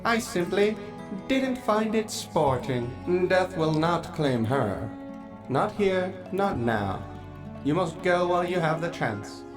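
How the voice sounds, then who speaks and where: Woman doing a undead knight guy, honorable, measured and formal, music underneath. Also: lowish voice, big pitch swings, voice pushed up. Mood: resolute. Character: honorable, weary undead vampire knight, male, loyal consort.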